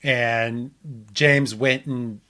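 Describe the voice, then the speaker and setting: A man hosts a casual podcast recap in a medium-pitched voice. He stammers and doubles back on words while telling the story in a conversational way. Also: fairly even intonation, very slow, pushed and bright. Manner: stammering, repeats words as he goes. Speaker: podcast host. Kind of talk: casual chat, episode recap.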